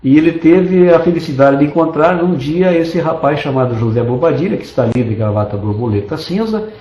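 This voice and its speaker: A man's voice: a little high, expressive, a little fast, dark tone, roomy sound, lo-fi sound. A man from Brazil giving an online business presentation as a network marketing presenter, persuasive and enthusiastic, stacking lists of points. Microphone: desktop computer mic.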